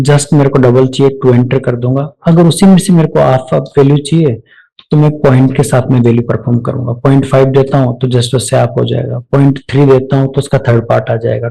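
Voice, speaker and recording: Man, Indian accent, mid-pitched, gravelly, tutorial narration, step-by-step explaining. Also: steady tone, brisk, mellow, low-quality recording. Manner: explanatory, demonstrating each step aloud. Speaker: software instructor. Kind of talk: step-by-step screen-recorded software tutorial.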